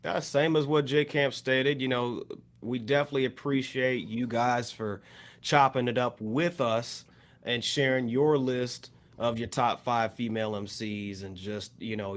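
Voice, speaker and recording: A man with a middle-pitched voice thanks listeners at the end of a live podcast. He talks in a friendly, loose way, filling pauses with "you know". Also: a bit bright. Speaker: podcast co-host.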